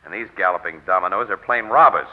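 An actor with an American accent playing a wry, confident crime photographer in a breezy, slangy 1940s radio drama style. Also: male voice, deep voice, quick pace. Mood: wry, knowing. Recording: muffled old radio broadcast with music.

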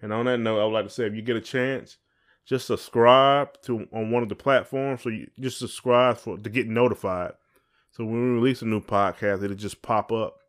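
A man's voice: on the low side, fairly even intonation, normal speed. A man co-hosting a podcast in casual chat, rambling and repeating phrases as he thinks aloud. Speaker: podcast co-host.

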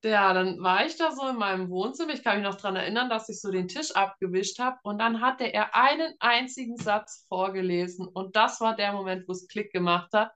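A woman in her thirties from northeastern Germany, chatting casually as an author being interviewed and telling anecdotes in an animated way. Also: mid-range voice, a little fast, few pauses. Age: 30s. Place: room at home.

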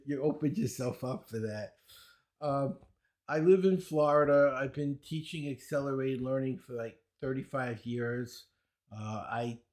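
Older Brooklyn-accented man, joking guest, rattles off credentials. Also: mid-range voice. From Brooklyn, New York. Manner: wisecracking, chuckles at his own jokes, rapid run of credentials. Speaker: guest, speed-reading teacher. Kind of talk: casual interview answers.

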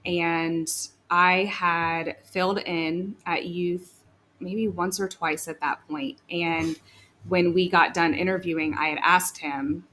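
A woman hosts a podcast in a chatty, excitable way, laughing easily between questions. Her voice sits a little low for a woman. A faint hum of noise runs underneath. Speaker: podcast host. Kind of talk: casual podcast interview.

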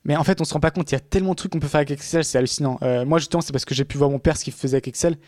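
A man's voice: a little high, steady tone, rapid-fire, a little mellow, no room sound, clean studio sound. A man talking casually in a podcast conversation, rambling with many fillers and restarts.

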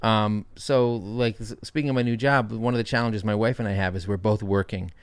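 A man's voice: fairly low pitch, steady tone, brisk, clear, resonant tone, no echo. A man in his thirties hosting a casual podcast with his co-host, teasing and sarcastic, swearing freely. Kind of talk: casual banter.

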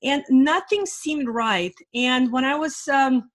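A woman's voice: sits high, slow. Earnest Russian-born woman in her forties. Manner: earnest, reflective, with fillers. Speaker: guest, life and business strategist. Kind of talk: interview answers.

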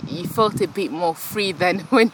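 A woman from France hosting a podcast, talking casually and warmly with a guest while sitting on grass outside, laughing often and using lots of fillers. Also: fairly low pitch, unhurried, background noise. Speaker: podcast host.

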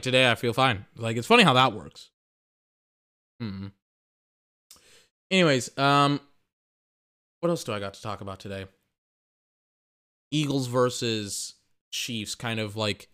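A man's voice: medium pitch, a little slow, long pauses, sharp tone, clear, resonant tone. A young man hosting a sports podcast, rambling and emphatic in casual commentary, restarting phrases and swearing, a little tired.

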